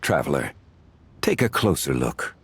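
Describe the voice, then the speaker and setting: An actor playing a composed, erudite gentleman in a video game, speaking in a formal, measured, courteous manner. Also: a bass voice, very animated, creaky, a little hiss or hum, exaggerated voice. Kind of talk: English video game voice acting. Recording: music under the voice.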